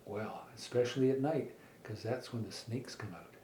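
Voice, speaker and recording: Middle aged guy spinning a folksy kids story, stumbles over words, soft dark voice, echoey room. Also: medium pitch. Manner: halting, folksy, with stammered repeats. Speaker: father telling a story. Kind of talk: improvised children's storytelling. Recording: echoey room with faint background noise.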